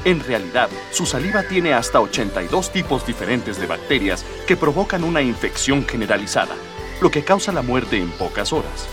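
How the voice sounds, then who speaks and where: An actor playing an excitable, pompous imperial herald giving live hunt commentary in a radio drama, with theatrical announcer delivery. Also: male voice, medium pitch, moderate pace, close to an everyday voice. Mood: hushed, grave. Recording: music behind the voice.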